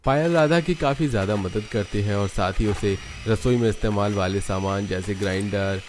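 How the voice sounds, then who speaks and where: Male narrator, lower-pitched voice, scripted storytelling, family tale. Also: normal speed, a little mellow, slight background noise.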